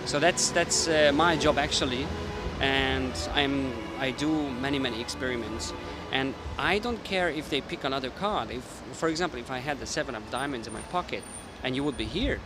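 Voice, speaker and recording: A man's voice: medium pitch, normal speed, few pauses, music in the background. A man with a Hungarian accent, a mentalist explaining his card tricks casually to the camera while thinking aloud. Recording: street noise with passing traffic.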